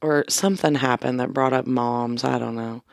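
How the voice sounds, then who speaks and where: A woman with a naturally deep, smooth and resonant voice, talking casually on a podcast. She tells her stories in a relaxed way and chuckles often. The sound is dry and close to a studio microphone. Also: normal speed, dry recording. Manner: laid-back, chuckles often while telling stories. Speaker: podcast co-host. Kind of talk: casual chat.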